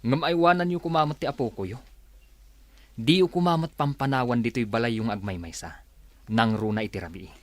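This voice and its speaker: A man acts as a worried, dutiful father in an audio drama. His mid-pitched voice is polite and earnest, recorded close and dry. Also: brisk, no room sound, lightly acted. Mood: earnest, concerned.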